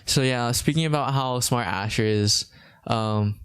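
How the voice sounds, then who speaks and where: A young man in his late teens from Hawaii hosts a laid-back podcast. He talks in a flat, monotone way, rambling and restarting his sentences. His voice is smooth and clear. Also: mid-range voice, measured pace. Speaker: podcast host. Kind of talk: casual podcast chat.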